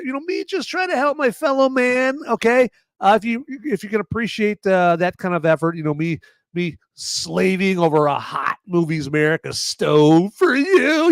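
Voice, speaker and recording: A man's voice: a little high, dry recording. A man hosting a live movie review podcast, rambling in a jokey, irreverent way. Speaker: podcast host.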